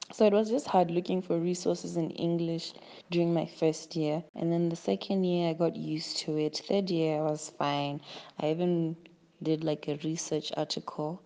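A woman's voice: low voice, gravelly, mellow. A young woman, an engineering graduate, answering interview questions in a chatty way, often searching for words.

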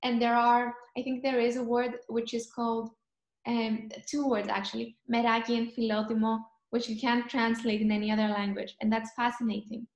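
Teen girl on a video call, kinda monotone, lots of ums while she thinks, roomy echo. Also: a little high, moderate pace, lo-fi sound. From Cyprus. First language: Greek. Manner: thoughtful, searching for words, frequent ums. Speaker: interviewee. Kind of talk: casual chat.

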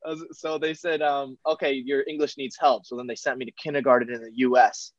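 A man's voice: mid-range voice, little pitch movement, a little fast, no room sound, highs cut off. A young man from Taiwan, a podcast guest chatting casually and joking, with many fillers and restarts. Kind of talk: casual interview chat.

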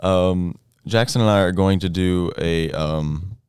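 A man's voice: deep voice, unhurried, darkish tone, clean tone, no room sound, clean studio sound. A young man co-hosting a casual podcast, joking and chatty. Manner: joking, laughing, loose and chatty. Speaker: podcast co-host.

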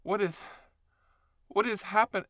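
Voice actor doing a freaked-out fresh werewolf, slow stammery talk, bright dry voice, swears a lot. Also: medium pitch, dry recording, lightly acted. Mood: bewildered, panicky. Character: confused person waking up as a werewolf. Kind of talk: audio roleplay monologue.

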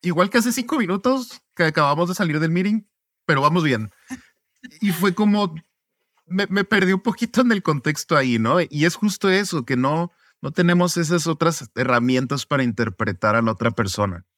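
A man from Mexico, a podcast guest, answering reflectively in a relaxed interview and often ending points with tag questions. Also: a little high, moderate pace, dry recording, studio quality. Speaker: podcast guest, student advocate.

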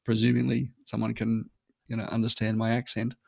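A man's voice: on the low side, monotone, fairly quick, creaky, slightly rough, no room sound, clean studio sound. A man talking casually as an interview guest, emphatic, often checking in with a short "right?" after his points. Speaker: podcast guest. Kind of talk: casual conversational interview talk.

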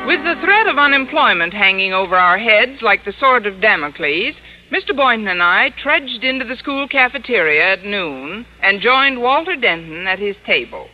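A woman playing a wry, sardonic schoolteacher with a dry deadpan delivery in radio sitcom style. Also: mid-range voice, normal speed, soft background music, lightly acted. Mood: dry gloom. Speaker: lead actress and narrator. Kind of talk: 1940s-50s radio sitcom acting. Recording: muffled old radio recording.

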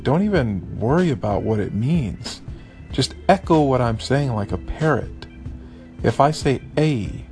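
Slightly raspy man chatting on a podcast. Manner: animated, mimics voices with high pitch jumps. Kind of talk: casual spoken daily podcast. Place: lives abroad in Vietnam.

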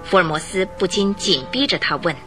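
A woman narrating a radio detective drama in a measured, dramatic storytelling manner. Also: on the low side, fairly bright tone. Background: music.

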